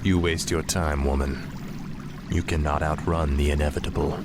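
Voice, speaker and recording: An actor playing a cold, arrogant human prince turned death knight in a fantasy video game, speaking in a haughty, menacing, declamatory way. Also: male voice, a bass voice, fairly even intonation, normal speed, very noisy. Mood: menacing contempt. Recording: loud music behind the voice.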